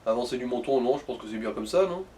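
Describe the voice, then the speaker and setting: A man's voice: medium pitch, animated, fast, mellow, a little hiss or hum. A man giving casual, teasing, slangy commentary on a video game as a co-commentator. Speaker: let's play co-commentator. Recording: voice far from the mic.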